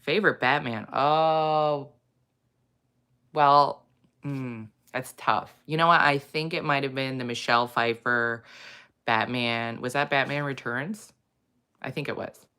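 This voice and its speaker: A woman hosting a casual chat, rambling with many fillers, in a low morning voice. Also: steady tone, takes it slowly, bright, forceful tone, clean tone. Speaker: host. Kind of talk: casual chat reading viewer comments.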